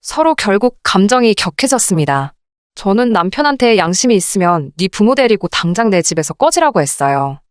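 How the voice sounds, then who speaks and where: A woman reading a scripted first-person story in an expressive, indignant radio drama style. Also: medium pitch, fairly quick, dry recording, studio quality. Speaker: narrator of dramatized listener stories.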